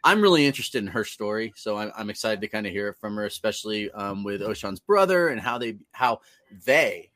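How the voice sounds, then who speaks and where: Low-voiced rambling man in his forties. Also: expressive, fairly quick, a bit bright, no echo. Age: 40s. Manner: loose, rambling with many ums. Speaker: podcast co-host. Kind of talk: casual sports podcast chat.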